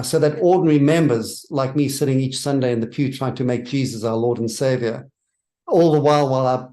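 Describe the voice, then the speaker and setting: Brief: South African man of middle pitch, church author giving measured, earnest interview answers. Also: darkish tone.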